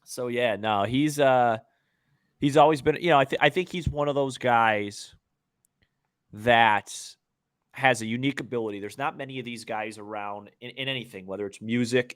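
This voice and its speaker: A man's voice: mid-range voice, steady tone, moderate pace, a bit bright, dry recording, clean studio sound. A man co-hosting a sports podcast in casual talk, repeating words and often checking that the listener follows. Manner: repeats words, checks in with "you know what I'm saying".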